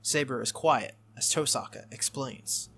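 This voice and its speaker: A man's voice: medium pitch, measured pace, creaky, fairly bright tone, a little hiss or hum. A man reading a visual novel aloud as a playthrough host, with casual asides and occasional stumbles that he corrects. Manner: stumbles over lines and corrects himself.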